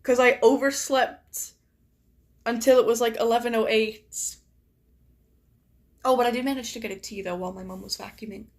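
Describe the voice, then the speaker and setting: A young woman streaming and chatting casually with viewers, her voice a little raspy and deeper from just waking up, talking in a rambling way full of "like". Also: steady tone, moderate pace, long pauses. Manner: chatty, filler-heavy, many "like"s. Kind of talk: casual live-stream chat.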